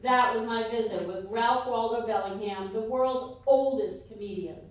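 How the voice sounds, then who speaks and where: A woman's voice: a little high, moderate pace, natural voice. Casting: chirpy female radio host played by an actress, courteous and inquisitive, for staged comedy interview; distant mic in reverberant room. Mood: polite, brisk. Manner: exclamatory, politely curious. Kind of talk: live comic radio play interview. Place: live fundraiser event room before an audience. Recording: echoey live hall, distant mic.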